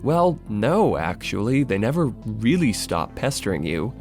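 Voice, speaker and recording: A man's voice: on the low side, very animated, a little slow, darkish tone, soft background music. A man narrating a scripted video essay in a conversational, sardonic and emphatic way, with casual asides and swearing.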